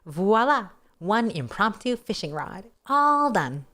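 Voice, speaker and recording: A woman's voice: mid-range voice, sing-song, slow pace, sharp tone, voice pushed up, exaggerated voice. A woman voicing a young sorceress heroine, cheeky and boastful, with playful exclamations, in a storybook reading. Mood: playful, proud.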